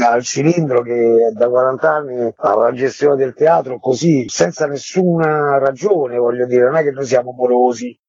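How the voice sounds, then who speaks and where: A man's voice: mid-range voice, warm tone, husky, no echo, lo-fi sound. A man guesting on a radio talk show, explaining at length and with growing indignation how his theatre group is being pushed out. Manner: earnest, indignant, rambling explanations. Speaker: guest, head of a theatre association. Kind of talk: radio interview, explaining a dispute.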